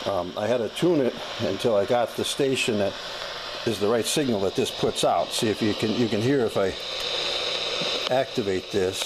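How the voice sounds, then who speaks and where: Low-voiced folksy American man, noisy recording. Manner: folksy, plain-spoken, halting. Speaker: hobbyist demonstrating a vintage gadget. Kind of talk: casual unscripted demonstration. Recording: clearly noisy amateur recording.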